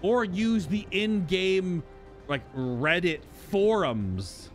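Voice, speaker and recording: A man's voice: sits high, expressive, extremely slow, faint music behind. A man doing casual live game commentary, musing and rambling as he thinks aloud. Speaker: game streamer.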